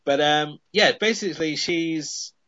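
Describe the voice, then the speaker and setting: A man's voice: fairly high pitch, measured pace, sharp tone, no echo, highs cut off. A man on a podcast, casually retelling a television episode with frequent "I mean" fillers.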